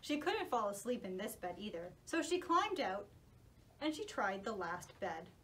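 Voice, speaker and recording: A woman narrating a children's story as a puppet show host, animated and reassuring. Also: medium pitch, moderate pace.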